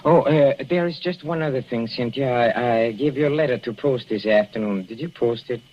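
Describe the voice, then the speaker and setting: An actor playing a sly older husband who coos softly and chuckles while poisoning his wife, in 1940s radio drama acting on a dull old broadcast recording. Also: mid-range voice, brisk, a little hiss or hum, close to an everyday voice. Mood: sinister. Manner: soft and wheedling, chuckling, quietly menacing.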